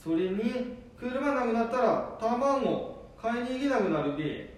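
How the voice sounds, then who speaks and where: A man's voice: very high voice, expressive, measured pace. Man acting a stubborn old Nambu-dialect grandpa, echoey. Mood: boastful, defiant. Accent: Nambu dialect of northeastern Japan. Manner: defiant, blustering, insistent. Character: stubborn, proud elderly grandfather who refuses to stop driving. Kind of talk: amateur dialect radio drama. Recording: very echoey room, distant mic.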